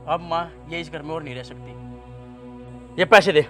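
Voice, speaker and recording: A man's voice: a little high, measured pace, close to an everyday voice. A man playing a stern, reproachful young husband and son who confronts his wife. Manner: angry, reproachful. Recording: background music under the voice.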